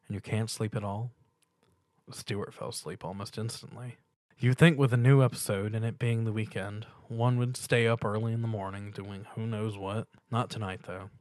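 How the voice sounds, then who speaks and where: A man's voice: fairly low pitch, dry recording. A man narrating a scripted fan fiction story as a dramatic reading.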